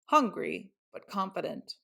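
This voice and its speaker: A woman reading a picture book aloud to children in an expressive storytelling style. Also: on the low side, a little slow, gravelly. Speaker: storybook reader.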